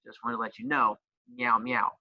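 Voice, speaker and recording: A man's voice: mid-range voice, completely flat delivery, normal speed, creaky, muffled recording, slight background noise. A man teacher who also coaches, explaining a homework assignment with playful jokes and teasing. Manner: says he has a loud voice.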